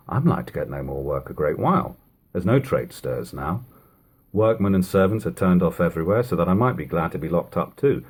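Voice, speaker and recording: A man acts out an anxious old-time sailmaker in a storybook. The voice is low and husky, and he talks in a subdued, hesitant, plain way. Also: darkish tone. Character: anxious working-class man, a lame sailor turned sailmaker. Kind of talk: storybook character dialogue.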